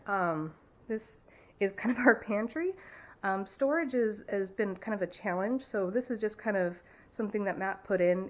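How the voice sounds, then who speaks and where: A woman's voice: medium pitch, lo-fi sound. A woman giving a chatty, filler-heavy home tour of her wood-paneled cabin kitchen, speaking into a handheld camera. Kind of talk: casual home tour narration.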